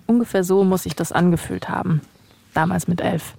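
Female podcast host, low register, creaky edge, narrative yet conversational. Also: brisk, mellow, a little hiss or hum. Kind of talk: scripted podcast narration and casual chat.

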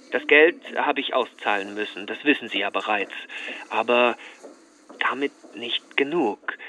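A man's voice: medium pitch, pushed and bright, exaggerated voice, rough recording. An actor playing a worried, politely insistent businessman speaking on the phone in a radio play. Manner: polite, formal, pressing. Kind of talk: naturalistic radio play acting. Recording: faint background noise.

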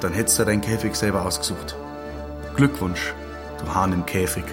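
A man's voice: low-pitched, steady tone, normal speed, natural voice. Stern Bavarian village mayor, played by a man, music behind. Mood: sardonic. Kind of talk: German radio drama acting.

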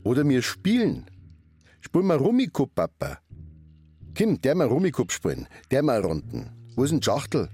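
A man's voice: medium pitch, huge pitch swings, moderate pace, darkish tone, husky, no room sound, faint music behind, heavily acted. An actor playing a talkative middle-aged hairdresser, a fisherman's son, with a Bavarian accent in a radio drama. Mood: chattering, eager. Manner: talkative, colloquial narrating. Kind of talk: radio drama monologue and dialogue.

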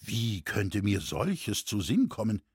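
A husky old craftsman from a historical storybook, played by a man, wry and mock-earnest. His phrasing is flowery and ironic, with wide swings in pitch, recorded close and dry. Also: very animated, slow, dry recording, full character voice. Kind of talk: audiobook character acting.

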